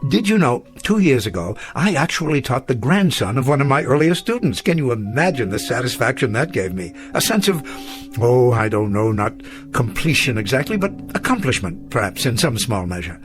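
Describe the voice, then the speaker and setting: A man's voice: mid-range voice, big pitch swings, normal speed, music in the background, lightly acted. Man playing a rambling, kindly old schoolteacher. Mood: fond, proud. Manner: genteel, hesitant, chuckling old-fashioned speech. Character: kindly, rambling, proud elderly male schoolteacher. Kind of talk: radio drama acting.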